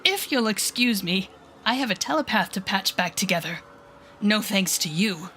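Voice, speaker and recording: A woman playing a telepathic supervillain in an audio roleplay, a bitter but protective mentor with a sardonic, deliberate manner. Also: mid-range voice, lively intonation, sharp tone, slight background noise. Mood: bitter, accusing. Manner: sardonic, deliberate, shifting to soothing whispers. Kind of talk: dramatic audio roleplay acting.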